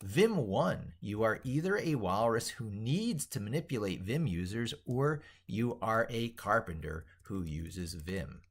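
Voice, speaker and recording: Male tech educator, lowish pitch, composing an opinionated monologue aloud; declarative, emphatic delivery with reworked, repeated phrases. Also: expressive, a little slow, hardly any pauses. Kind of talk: drafting and reading aloud an opinionated monologue while typing.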